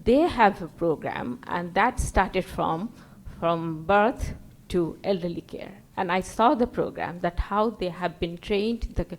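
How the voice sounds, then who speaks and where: A woman's voice: fairly low pitch, takes it slowly, hardly any pauses. A woman from Bangladesh with a Bangladeshi accent, an NGO worker giving an example from the floor of a conference. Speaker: NGO worker, audience speaker.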